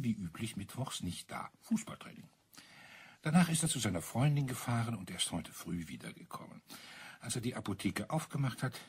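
A self-assured colonel from a detective radio drama. His voice is fairly low and very breathy, rising and falling animatedly as he lays out a mystery with teasing relish. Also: exaggerated voice. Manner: teasing, expository, savours his account. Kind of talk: German crime radio play acting.

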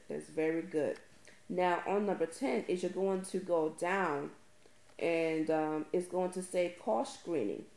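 A woman's voice: lowish voice, mellow, roomy sound, rough recording. A woman presenting an informal step-by-step tutorial, emphatically repeating key phrases for stress.

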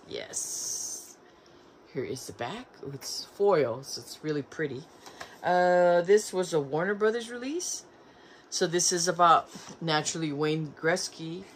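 A woman's voice: fairly low pitch, a little hiss or hum. A middle-aged woman chatting through a thrift haul at home, reading back covers aloud and stumbling over names, recorded by a camera in front of her in a room lined with shelves. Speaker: collector showing a thrift haul. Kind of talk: casual haul video. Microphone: camera in front, seated in armchair.

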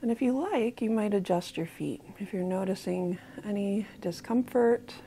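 A woman with an American accent, slowly guiding a body scan meditation in a soft, calm voice. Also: mid-range voice, slight background noise, rough recording. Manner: slow, soft-spoken, calm guidance with pauses. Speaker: mindfulness guide.

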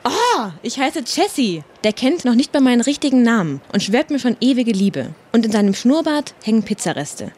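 An actress playing a picky, haughty, dreamy young princess in a comic radio play. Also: female voice, fairly high pitch, big pitch swings, normal speed, hardly any pauses, darkish tone, husky. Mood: disdainful.

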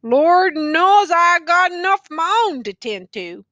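A battered male soldier rambling in a slurred, folksy drawl, as a woman reader puts him on. His voice rides noticeably higher than the narration and swoops up and down as he frets, with a bright, forward edge. The words come slowly and drag. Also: very animated, no echo, exaggerated voice. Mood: pleading, plaintive. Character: rambling wounded old-fashioned male soldier.

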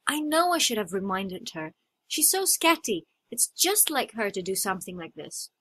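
A young adult woman acting out short scripted everyday dialogues for a listening test, with clear expressive delivery. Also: medium pitch, normal speed.

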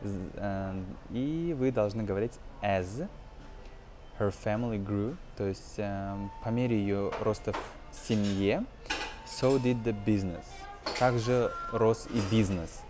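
A man in his twenties giving an informal language lesson as a teacher, explaining phrases in a halting, hesitant way. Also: on the low side, background noise. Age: 20s. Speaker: English teacher.

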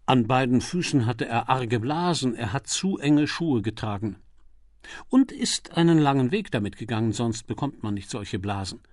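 A man voicing an earnest, methodical police detective who reports deliberately in an audiobook mystery. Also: mid-range voice, lively intonation, darkish tone, no room sound, studio quality, natural voice. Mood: methodical, factual. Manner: factual, deliberate, unruffled.

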